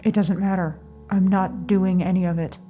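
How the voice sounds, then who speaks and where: A woman's voice: fairly low pitch, flat delivery, normal speed, music in the background, close to an everyday voice. A woman playing a terse, stubborn character in an audio drama, speaking in clipped, firm phrases. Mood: resolute.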